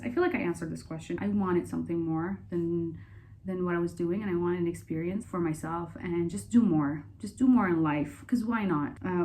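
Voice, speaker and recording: A young woman from Saudi Arabia talking casually and candidly in a personal Q&A vlog, chatty and quick to laugh. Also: on the low side, a little mellow, slight background noise. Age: young adult. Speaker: vlogger.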